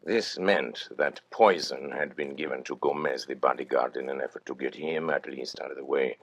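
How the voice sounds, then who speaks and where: An actor playing a suave, vain, cold-blooded master criminal, speaking with pompous, precise courtesy in old-time radio suspense style. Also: male voice, rumbling low, normal speed, a bit bright, no echo, heavily acted. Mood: coolly recounting.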